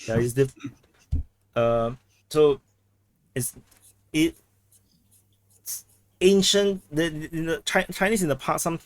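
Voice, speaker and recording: Halting man explaining over a call. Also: big pitch swings, no echo. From Southeast Asia. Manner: halting, restarts often, explains with comparisons. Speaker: chat participant. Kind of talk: casual group chat. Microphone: video call. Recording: low-quality backup recording.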